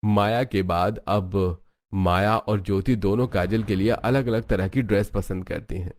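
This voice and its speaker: A man's voice: lowish voice, steady tone, measured pace, darkish tone. A man reading scripted narration for a cartoon story, as its narrator.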